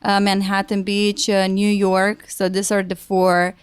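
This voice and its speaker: A woman in her thirties with a Romanian accent talks through her background in a relaxed interview. Her voice is smooth and resonant, and she explains things earnestly, with frequent ums and uhs. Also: medium pitch, measured pace. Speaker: guest, Pilates business founder. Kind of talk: casual interview.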